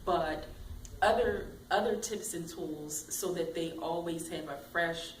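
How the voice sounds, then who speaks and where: A woman career coach giving bold, expressive motivational advice in an interview. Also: fairly low pitch, unhurried, slight background noise. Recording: echoey room, mic at a distance.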